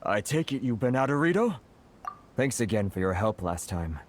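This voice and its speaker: A man's voice: fairly low pitch, big pitch swings, normal speed, a little hiss or hum. A man playing a flustered, sheepish merchant in a video game, hesitant, nervous and polite. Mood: flustered, grateful. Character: flustered, sheepish male merchant, worried and apologetic.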